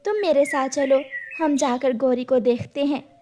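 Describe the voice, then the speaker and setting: A woman's voice: high voice, moderate pace, dark tone, slight background noise, lightly acted. A woman voicing an earnest, polite female sparrow neighbour in a children's cartoon story. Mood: concerned.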